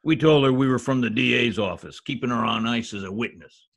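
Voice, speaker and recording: A man playing a gruff, blunt gangster boss with tough slangy talk in a 1940s radio crime drama. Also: medium pitch, brisk, a bit bright. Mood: indignant, tough. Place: home, recorded over video call.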